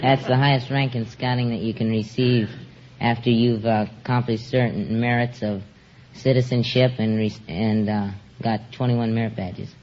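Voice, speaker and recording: A man's voice: mid-range voice, fairly even intonation, normal speed, darkish tone, some chatter behind. A seventeen-year-old young man answering interview questions politely and plainly as a contestant. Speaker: show contestant, Boy Scout. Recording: muffled old radio recording, faint noise.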